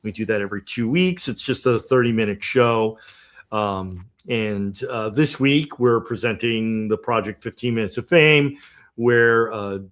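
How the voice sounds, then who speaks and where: Male host, low-pitched, loose and halting, lots of ums and restarts. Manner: halting, many fillers and restarts. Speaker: TV show host. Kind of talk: casual livestream talk.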